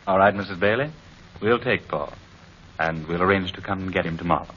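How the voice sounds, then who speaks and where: A man's voice: low-pitched, a little fast, fairly bright tone, a little hiss or hum. An actor playing an earnest, doting husband and father in an old-time radio drama. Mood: agreeable. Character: earnest, doting husband and adoptive father, gentle but exasperated. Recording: muffled old radio recording, music behind.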